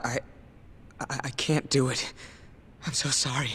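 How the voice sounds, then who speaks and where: A man acting a frightened, apologetic witness in a video game drama, speaking hesitantly and haltingly. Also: mid-range voice, fairly even intonation, a bit bright, slight background noise, lightly acted. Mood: shaken. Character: frightened, apologetic male witness, timid and guilt-ridden.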